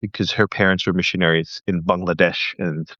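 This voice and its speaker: A man in his thirties who grew up in Thailand, a podcast guest in casual interview chat, talking in a chatty, joking way with quick asides. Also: sits low, level tone, pushed and bright, dry recording, lo-fi sound. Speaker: podcast guest, missionary leader.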